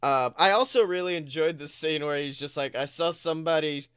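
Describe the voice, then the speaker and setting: A man co-hosting a podcast, chatting casually about films in an animated, sweary storytelling way. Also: fairly high pitch, fairly quick, smooth, no room sound, studio quality. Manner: animated, profane storytelling with quoted reactions. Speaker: podcast co-host. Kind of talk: casual film discussion chat.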